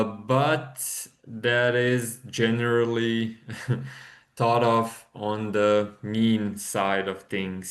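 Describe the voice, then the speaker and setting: A man from Croatia presents research over a video call in a slow, halting way, pausing often on filler sounds. His low voice stays flat and even, with little rise or fall. He speaks into a computer microphone. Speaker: conference presenter. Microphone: computer mic on a video call.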